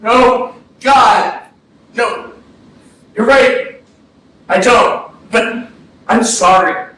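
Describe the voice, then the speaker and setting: A man's voice: very high voice, very slow, sharp tone, exaggerated voice. A man playing a grieving, guilt-ridden brother, pleading and defensive in a staged play reading. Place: arts centre theatre. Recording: very echoey stage, distant mic, faint noise.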